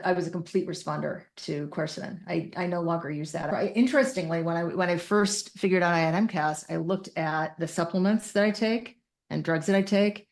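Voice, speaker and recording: A woman speaking as an interview guest, explaining things in detail with technical terms and emphasis. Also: on the low side. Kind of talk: explanatory interview talk from personal and clinical experience.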